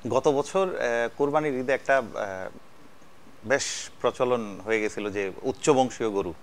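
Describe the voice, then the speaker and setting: A man's voice: mid-range voice, a little slow. A man from Bangladesh hosting a casual celebrity chat show, joking and heaping compliments on his guests. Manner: jokey, effusively complimentary to guests. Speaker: TV show host.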